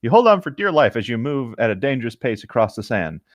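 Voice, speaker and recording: A man's voice: medium pitch, big pitch swings, quick pace, no room sound. A man co-hosting a read-along, narrating fan fiction and commenting on it in a playful, casual way. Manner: amused, playful. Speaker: co-host of a fan fiction reading show.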